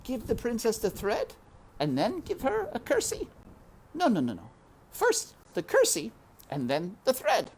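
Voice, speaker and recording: Woman putting on a high, slow-witted serving girl. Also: wildly swooping pitch, a little hiss or hum. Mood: earnest muttering. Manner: shouty, rustic uneducated speech. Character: slow-witted, hard-of-hearing serving girl, earnest and muttering.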